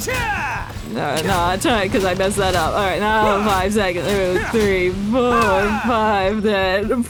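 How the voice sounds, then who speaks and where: Woman game streamer, casual commentary, rambling, muttering to herself, clear game music behind. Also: medium pitch, lively intonation, takes it slowly, low-quality recording. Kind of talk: casual live gameplay commentary. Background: game music and combat sounds.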